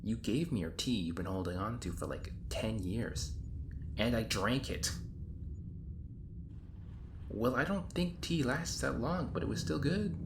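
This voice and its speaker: A man playing an exasperated, sarcastic hero in an audio roleplay, rambling in casual modern phrasing. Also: fairly low pitch. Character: exasperated, sarcastic young hero stuck in time loops. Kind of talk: audio roleplay acting, conversational monologue. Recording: roomy echo, clear background noise. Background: designed ambience.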